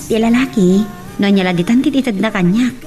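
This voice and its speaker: A woman's voice: medium pitch, brisk, mellow, soft background music, lightly acted. A woman with a Filipino accent acting an outspoken, aggrieved woman in a family radio drama. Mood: troubled, confiding.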